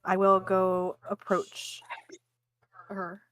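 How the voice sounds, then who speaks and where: A woman's voice: medium pitch, monotone, drawn-out words, no room sound. Female tabletop roleplayer, middle register, casual delivery, part table talk, part in-character lines. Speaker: tabletop game player.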